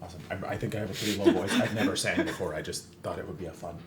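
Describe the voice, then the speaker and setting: A man's voice: low voice, fairly even intonation, rapid-fire, over a noisy crowd. A man playing a tabletop roleplaying game, speaking in character in a casual, playful way. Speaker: player. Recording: echoey room, faint noise.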